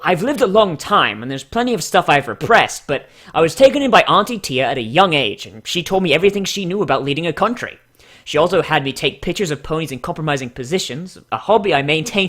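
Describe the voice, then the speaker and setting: A man's voice: fairly high pitch, pushed and bright. A man voicing a scheming, lewd, tyrannical female pony ruler in a theatrical, boastful reading of a cartoon fan character.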